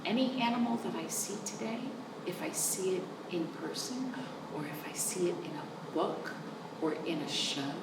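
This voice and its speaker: A woman hosting storytime for young children, reading aloud in an animated sing-song way with chatty asides to her listeners. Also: sits low, vocal fry. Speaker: children's storytime host at a library. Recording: roomy, distant microphone with noticeable background noise.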